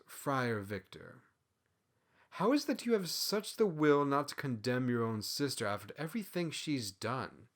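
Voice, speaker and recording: A man voicing a centuries-old, self-righteous husband in a storybook, earnest and questioning. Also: medium pitch.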